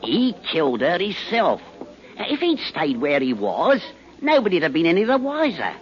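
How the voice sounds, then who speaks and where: An actor playing a matey, nosy Cockney pub regular, garrulous and slangy, in 1940s radio drama style. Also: male voice, fairly high pitch, huge pitch swings, normal speed. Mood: chatty. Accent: Cockney English. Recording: muffled 1940s radio recording.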